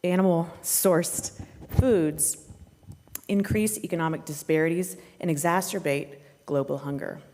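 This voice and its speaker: A woman formally introducing a guest speaker, reading in a measured way from a prepared text. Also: deep voice, a little mellow. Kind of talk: read-aloud formal biography introduction. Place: church sanctuary, indoors. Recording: echoey hall with long reverb.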